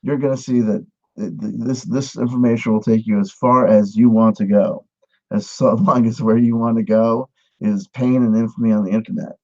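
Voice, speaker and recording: Mock-earnest man with a mellow low voice. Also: fairly even intonation, normal speed, muffled recording. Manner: mock-earnest pitchman delivery. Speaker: mock instructor. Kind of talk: satirical monologue.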